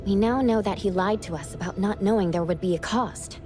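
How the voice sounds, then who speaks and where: A woman playing a composed, analytical young female investigator in a fantasy video game, speaking in a measured, deliberate way. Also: medium pitch, music in the background, close to an everyday voice. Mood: pensive, analytical. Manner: measured, deliberate, reasoning aloud. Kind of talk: scripted anime game voice acting.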